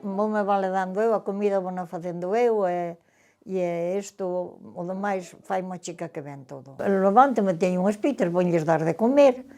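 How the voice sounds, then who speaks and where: A woman's voice: medium pitch, moderate pace, warm tone, soft background music. An older woman describing her simple daily routine modestly. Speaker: documentary interviewee.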